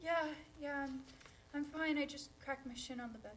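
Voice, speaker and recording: Woman playing overwhelmed teenage daughter, high voice, distraught, stage reading, distant mic with noisy rumble. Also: moderate pace, lightly acted. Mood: brittle, covering up. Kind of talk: acted script reading.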